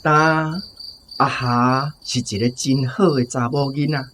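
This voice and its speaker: A man's voice: medium pitch, steady tone, a little slow, fairly bright tone, lightly acted. Guy acting a kindly taiwanese opera troupe boss, polite and earnest, bit of noise behind. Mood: grateful, persuasive. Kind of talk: Taiwanese radio drama acting.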